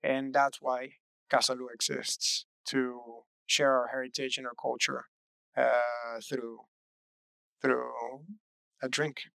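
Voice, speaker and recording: Earnest young Venezuelan-born founder, crisp voice. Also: male voice, medium pitch, flat delivery, slow pace, sharp tone, no echo. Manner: earnest, reflective, frequent "uh" fillers. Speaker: startup co-founder, interview guest. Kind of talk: relaxed interview answers.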